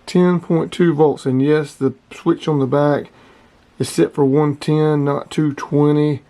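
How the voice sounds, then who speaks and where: A man's voice: a little high, level tone, takes it slowly, dark tone. A man casually demonstrating gear as a hobby vlogger, explaining things in a plainspoken way.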